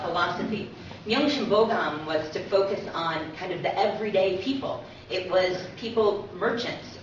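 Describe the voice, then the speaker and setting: A distant, muffled recording of a middle-aged woman presenting in a very echoey room. She explains things conversationally, like an informal lecture, at an ordinary pitch, over faint noise. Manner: conversational, explanatory, often tags points with "right?". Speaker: co-presenter, university teacher. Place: indoor talk room. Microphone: distant from speaker.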